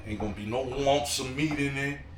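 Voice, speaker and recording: A man with a New York accent and a slightly hoarse, froggy voice, chatting casually to viewers while he cooks in his home kitchen. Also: medium pitch, normal speed. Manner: laid-back slangy chatter to viewers. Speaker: home cooking vlogger. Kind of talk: casual cooking vlog talk. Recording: echoey room with background noise.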